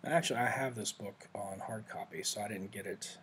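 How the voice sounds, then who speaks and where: A man giving a casual, rambling screen-share walkthrough of study software, often correcting himself mid-sentence. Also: on the low side, fairly quick, slight background noise. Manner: rambling, self-correcting, conversational. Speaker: vlogger reviewing software.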